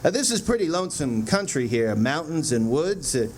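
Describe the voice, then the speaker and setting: A man acting a chatty rural gas station attendant in an old-time radio drama, with folksy, halting, colloquial talk. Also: a little high, sing-song, a little hiss or hum. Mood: incredulous, curious.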